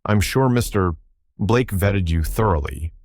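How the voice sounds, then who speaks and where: A poised, guarded woman manager with a clipped, clinical manner, from a spoken story, read by a man. The voice sits deep and is recorded close and dry. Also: lively intonation, measured pace, darkish tone, dry recording.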